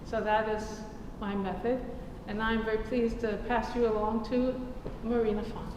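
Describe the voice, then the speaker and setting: A woman's voice: a little high, steady tone, dull audio. Echoey distant woman explaining her drawings. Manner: measured, explanatory. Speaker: artist presenting her work. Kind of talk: gallery talk. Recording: echoey hall recorded from far off, noisy.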